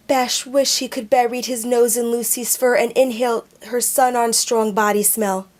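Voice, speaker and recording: A woman reading a children's picture book aloud at a slow, careful pace. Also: fairly high pitch, a little mellow.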